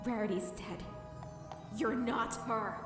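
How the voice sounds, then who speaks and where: Woman as a fierce, high-voiced pony heroine. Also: slow. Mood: fierce, accusing. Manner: fierce, accusing. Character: defiant young female pony heroine, protective and grieving. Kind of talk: scripted audio drama acting. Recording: distant, echoey hall with music.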